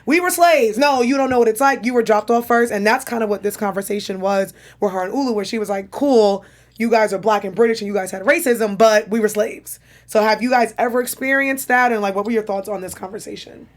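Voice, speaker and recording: A woman co-hosting a TV recap show, leading the talk in a lively way and passing questions to the others. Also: medium pitch, a little fast. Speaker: co-host. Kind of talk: casual TV recap chat.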